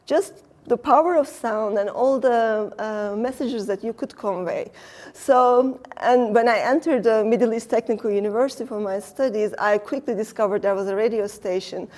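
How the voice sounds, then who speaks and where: A middle-aged woman from Turkey with a Turkish accent, a design researcher talking casually in an interview, pausing often with fillers. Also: on the high side, a little mellow. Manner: thoughtful, many fillers, laughs often. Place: design exhibition venue.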